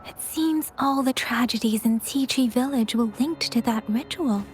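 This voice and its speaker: Young female game companion, acted by a woman, high voice, earnest and protective, music underneath. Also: normal speed, natural voice. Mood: thoughtful. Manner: earnest, concerned. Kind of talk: video game voice acting. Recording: music behind the voice.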